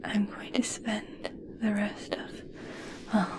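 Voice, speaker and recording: A slow, breathy whisper from a woman acting as a female scientist in an ASMR roleplay. She is obsessed and awestruck, speaking haltingly and with gentle fascination, as if studying a rare creature up close. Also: medium pitch, monotone, natural voice. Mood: fascinated, gentle.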